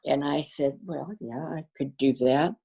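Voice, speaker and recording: An older woman, born to a Danish-speaking family, reminiscing about her community in a casual interview, pausing often with fillers and restarts. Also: deep voice, steady tone, creaky, slightly rough. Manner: warm storyteller, halting with fillers and restarts. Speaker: guest.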